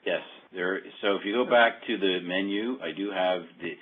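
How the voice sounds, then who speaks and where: A man talking informally through a software demo on a remote call, often muttering to himself as he works. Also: low voice, moderate pace. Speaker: software demonstrator. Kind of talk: casual screen-share demo talk. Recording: dull narrow-band call audio.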